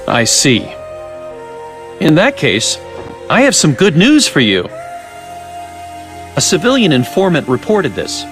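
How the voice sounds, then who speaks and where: A man voicing a polite, wordy middle-aged research scientist in a video game cutscene, genial but evasive. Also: a little high. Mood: calm, probing.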